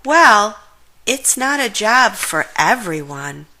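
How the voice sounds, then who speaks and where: A woman plays a hardworking farmer bear from a children's storybook, proud and folksy. He explains things in friendly rhymes, unhurried and with big swings in pitch. The tone is bright and forward. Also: very animated, full character voice. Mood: thoughtful.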